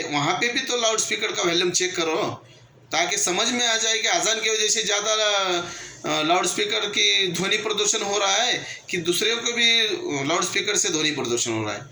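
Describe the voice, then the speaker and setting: A man with a high-pitched, very piercing, forceful voice delivers political opinion to his channel's viewers. He argues emphatically and repeats his points. The room is clearly echoey. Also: moderate pace. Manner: emphatic, repetitive, argumentative. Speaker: channel host and political commentator. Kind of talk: opinion commentary addressed to viewers. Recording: echoey room with background noise.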